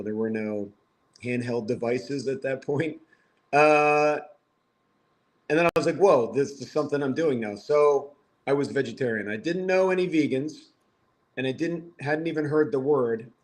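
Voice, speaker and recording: A man in his fifties from the Philadelphia area, a podcast guest telling stories with wry, self-mocking humor. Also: mid-range voice. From Philadelphia area, USA. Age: 50s. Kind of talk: casual podcast conversation.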